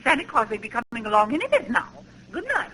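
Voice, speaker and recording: A woman acting a bossy, exasperated mother in shrill 1940s radio comedy style, on an old broadcast recording. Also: sing-song, quick pace, a bit bright, a little hiss or hum, low-quality recording. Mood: scolding. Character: scolding, exasperated mother of a naughty boy.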